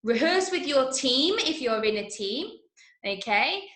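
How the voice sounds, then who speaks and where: A woman's voice: high voice, sing-song, unhurried, a bit bright. English woman teaching, echoey room. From Manchester, England. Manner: clearly instructional, frequent check-ins with okay and right. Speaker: drama workshop teacher. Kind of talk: online workshop lesson for children. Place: indoors, online video session, roomy sound. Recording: roomy echo on online call.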